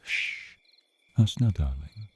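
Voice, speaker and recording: A man playing a suave, menacing, teasing vampire captor in an intimate ASMR roleplay, with theatrical, purring, drawn-out phrasing. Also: low voice, expressive, slightly rough, dry recording, heavily acted. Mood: hushed, teasing. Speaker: roleplay voice performer.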